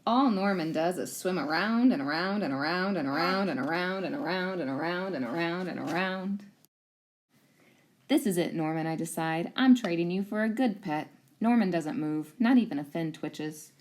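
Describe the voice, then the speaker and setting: A woman reading a children's storybook aloud in an expressive teacher's style. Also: lowish voice, normal speed.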